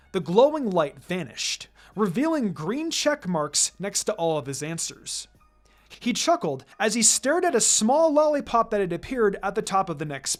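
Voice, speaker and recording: Someone reading a fanfic story out loud, really animated narration, music in the background. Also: mid-range voice. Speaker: narrator reading fan fiction. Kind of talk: expressive scripted story narration. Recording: music behind the voice.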